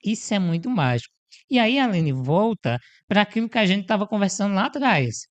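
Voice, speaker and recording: A man hosting a podcast interview who speaks expressively and stretches phrases with dramatic pauses. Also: sits high, very animated, muffled recording. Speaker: podcast host.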